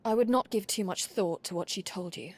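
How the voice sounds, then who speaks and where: A woman's voice: medium pitch, brisk, close to an everyday voice. A woman playing a dutiful young Valkyrie warrior in a video game, speaking in formal, stern, earnest phrases. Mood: serious, puzzled. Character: solemn, dutiful young female Valkyrie warrior serving a god. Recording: music under the voice.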